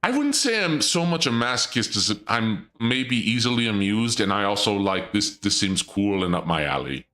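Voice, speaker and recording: A young man streaming and chatting casually, rambling and joking in a theatrical dark-lord persona. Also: fairly low pitch, big pitch swings, normal speed, few pauses.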